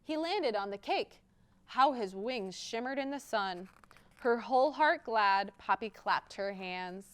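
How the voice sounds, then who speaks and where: A woman's voice: a little high, lively intonation, unhurried, fairly bright tone, clean tone. A woman hosting a children's storytime, reading aloud expressively and speaking directly to young listeners. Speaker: library storytime host and children's reader. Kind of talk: picture-book read-aloud with interactive rhymes for young children.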